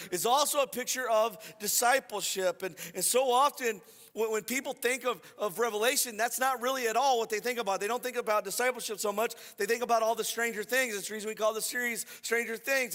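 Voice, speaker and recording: A man preaching as a pastor in a conversational, informal way, repeating phrases and checking in with listeners, his voice a little scratchy and hoarse. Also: high-pitched, a bit bright. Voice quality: slightly scratchy. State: slightly hoarse from voice loss. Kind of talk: conversational sermon to a congregation. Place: church hall. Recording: reverberant hall sound.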